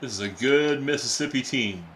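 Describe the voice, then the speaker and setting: A young adult man, a teacher by day, giving casual, excitable live-stream commentary while playing a football video game and chatting with viewers. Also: medium pitch, normal speed, a little hiss or hum. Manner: excitable, chatty, reacts to plays and reads chat aloud.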